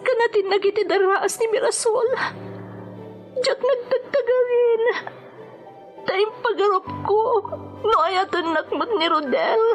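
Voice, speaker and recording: A woman's voice: very high-pitched, a little slow, pauses often. A woman playing a guilt-ridden, lovesick daughter in a radio drama, tearful and pleading as she defends herself. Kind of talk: Ilocano radio drama acting. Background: dramatic music.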